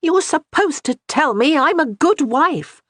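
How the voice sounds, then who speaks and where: A woman voicing a flustered young noble wife, rattled and bossy, in a husky voice. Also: expressive, moderate pace, no echo, studio quality. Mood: agitated. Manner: rattled, bossy, high-strung.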